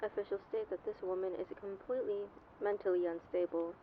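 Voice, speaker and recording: A woman's voice: mid-range voice, fairly even intonation, a little fast. A formal female news reporter, voiced by a woman, reads out a bulletin. Her voice comes through a thin, phone-like broadcast filter. A faint hiss sits under it. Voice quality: formal, announcing tone. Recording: tinny broadcast with faint noise.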